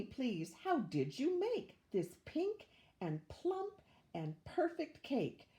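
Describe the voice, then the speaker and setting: A woman's voice: on the high side, warm tone, pitched above the performer's own voice, heavily acted. An older woman voicing a delighted, grateful mother in a children's storybook, gleeful and exclaiming.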